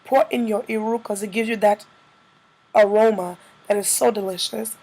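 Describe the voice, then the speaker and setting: A woman with a slightly hoarse, congested-by-a-cold voice, narrating a casual cooking tutorial as a vlogger. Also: medium pitch, steady tone, moderate pace, dark tone, poor audio.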